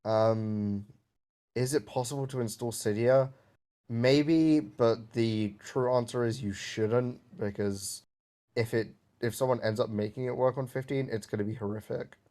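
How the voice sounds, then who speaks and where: A man chatting casually about phones and software on a voice call, rambling with fillers and some swearing. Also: medium pitch, a little slow. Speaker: jailbreak developer on a stream. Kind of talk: casual tech chat.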